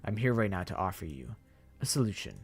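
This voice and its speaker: A man voicing a polite, formal zombie mastermind who speaks in a slow, measured and softly menacing way. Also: sits low, big pitch swings, husky, faint music behind, heavily acted. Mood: calm, courteous. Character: courtly, eerily polite male zombie mastermind.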